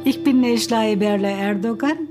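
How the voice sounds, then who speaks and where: A woman in her seventies, originally from Turkey, with a Turkish accent, recalling her life slowly and thoughtfully with frequent hesitations. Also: mid-range voice, over music. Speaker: interviewee. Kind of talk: personal recollection.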